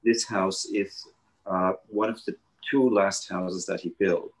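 A man, a guest architect speaking haltingly over a video call from a living room. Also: deep voice, fairly even intonation, low-quality recording. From Switzerland. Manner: halting, many hesitations and restarts. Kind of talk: panel conversation.

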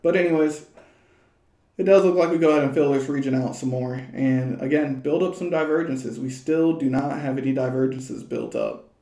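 A young man giving casual, rambling crypto chart commentary from a small room at home, his voice a little hoarse, with plenty of fillers and restarts. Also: medium pitch, animated, moderate pace, mellow, roomy sound. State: slightly hoarse.

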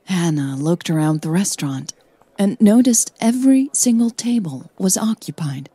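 A woman narrates a scripted audio story. Her voice is slightly low and dark, with a husky grain. She reads as a storyteller. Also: animated, normal speed, no echo.

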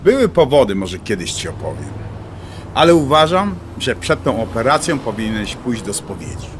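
A man's voice: mid-range voice, sing-song, normal speed, background noise, lightly acted. An actor playing a devout middle-aged man, a teasing and reassuring friend who talks colloquially in an audio drama. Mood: earnest, persuasive. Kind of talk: dialogue acting in an audio drama.

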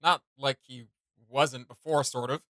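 Male reaction host, thirties, forceful bright delivery, slangy and rambling, dry close sound. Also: medium pitch, steady tone, measured pace, no room sound. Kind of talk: casual reaction commentary. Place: small indoor home studio room.

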